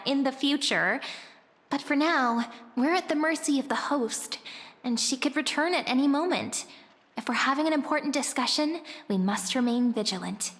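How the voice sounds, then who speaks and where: A woman's voice: sits high, a bit bright, long reverb tail. An actress playing a companionable young woman adventurer in a video game, playful yet dutiful, with a cheerful, earnest manner. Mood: amused, then cautious, purposeful.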